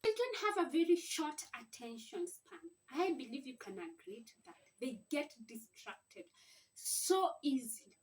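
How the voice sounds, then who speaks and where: A woman speaking as a mother and teacher in an informal instructional vlog, explaining things in simple phrases. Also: sits high. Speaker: mother teaching her children to read. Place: at home. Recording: distant mic, faint background noise.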